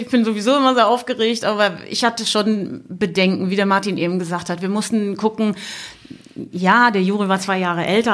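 Middle aged mom on a podcast, normal pitch, chatty storytelling. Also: female voice. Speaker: podcast guest, travelling mother. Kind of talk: casual anecdotal storytelling.

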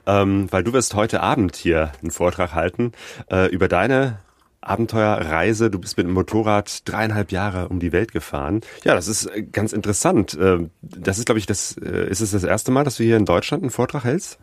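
A male interviewer with a fairly low voice asks friendly questions at a brisk pace while seated at a small table. The sound is dry and close. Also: dry recording. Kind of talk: interview hosting.

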